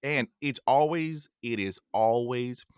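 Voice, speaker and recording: A man's voice: medium pitch, slow pace, smooth, no room sound, clean studio sound. A man in his forties hosting a podcast interview, talking earnestly with a stutter and repeating himself for emphasis. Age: 40s. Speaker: podcast host.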